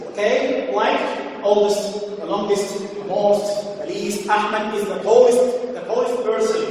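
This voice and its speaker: High-voiced man teaching in echoey room. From Egypt. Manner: repeats phrases, frequent "uh" and "okay?" checks. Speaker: English teacher. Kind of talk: recorded grammar lesson. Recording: echoey room, distant mic, faint hiss.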